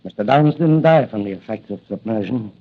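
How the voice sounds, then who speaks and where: An actor playing a learned, grave professor in 1940s radio drama style. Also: male voice, fairly low pitch, expressive, fairly quick. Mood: grave, explanatory. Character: learned, grave male professor explaining medical findings. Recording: muffled old radio recording.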